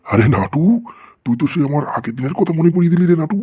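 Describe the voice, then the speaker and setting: A man voicing an excitable, gullible, quick-tempered schoolteacher in a comedy cartoon, exclaiming and teasing. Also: a little high, husky. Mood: nostalgic. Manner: exclaiming, teasing, addresses pupils with mock affection. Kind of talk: Bengali comedy cartoon voice acting.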